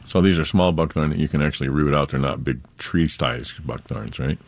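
A man's voice: rumbling low, a little fast. A man co-hosting a gardening call-in show, asking the caller direct questions and giving advice. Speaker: gardening expert co-host.

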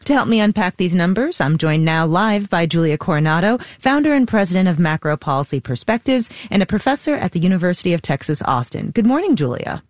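A woman anchoring a radio business news show, reading the news and interviewing a guest live in a crisp newsreader manner. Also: fairly low pitch, fast talker, hardly any pauses, no echo, clean studio sound. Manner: crisp, lively newsreader delivery. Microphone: studio microphone.

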